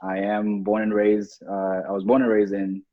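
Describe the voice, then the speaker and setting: A young college student from Kenya introducing himself warmly and politely on a video call. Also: male voice, lowish voice, monotone, moderate pace, highs cut off. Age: young adult. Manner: polite, warm, slightly hesitant.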